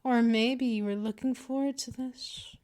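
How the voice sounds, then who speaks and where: Slow, teasing vampire mistress, acted by a woman. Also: a little high, steady tone, a little mellow, natural voice. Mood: stern, teasing. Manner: slow, condescending, teasing purr. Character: patient, teasing, dominant female vampire mistress. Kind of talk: scripted ASMR roleplay, intimate second-person address.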